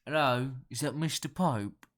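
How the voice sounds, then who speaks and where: A man's voice: mid-range voice, a little slow, a bit bright, pitched above the performer's own voice, natural voice. A man voicing a sly, hard-drinking Cockney Londoner with a guttural, nasal, scratchy smoker's voice in an audio horror story. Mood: sly, probing.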